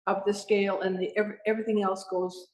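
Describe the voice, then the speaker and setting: A woman's voice: medium pitch, level tone, a little fast. Woman from Sweden and Norway, guest artist, earnest and passionate, echoey exhibition space. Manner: earnest, passionate, with frequent restarts. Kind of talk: casual interview talk. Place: at her art exhibition. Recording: echoey room.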